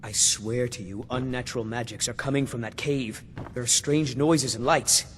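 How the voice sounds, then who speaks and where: A man's voice: steady tone, moderate pace, natural voice. Man playing an anxious villager in an echoing hall. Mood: alarmed. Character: anxious, pleading male villager petitioning a ruler. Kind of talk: fantasy game character acting. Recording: reverberant hall, faint rumbling noise.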